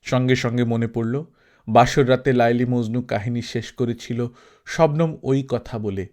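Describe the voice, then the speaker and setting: A man narrating a literary story in a measured, reflective way. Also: mid-range voice, fairly even intonation, darkish tone. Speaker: audiobook narrator.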